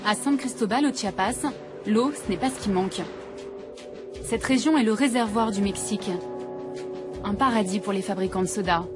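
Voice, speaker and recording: A woman's voice: medium pitch, moderate pace, pauses often, music in the background. A woman from France, a journalist, reading scripted first-person narration for a documentary.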